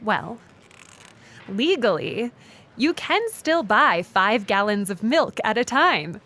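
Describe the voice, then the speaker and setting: A woman playing an earnest, absurdly literal lawyer, formal and deadpan, in an audio comedy sketch. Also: mid-range voice, slow pace, full character voice.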